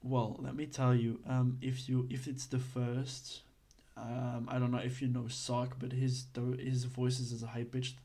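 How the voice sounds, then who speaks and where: A man's voice: medium pitch, monotone, measured pace, dark tone. A man from Belgium, giving a casual, hesitant lesson full of fillers and restarts. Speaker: instructor.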